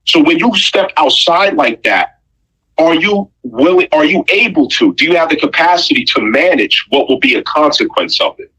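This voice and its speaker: A man's voice: on the high side, animated, normal speed, sharp tone, slightly rough, low-quality recording. A man giving an opinionated, conversational monologue, blunt and emphatic, with rhetorical questions and pointed repetition. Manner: blunt, profane slang.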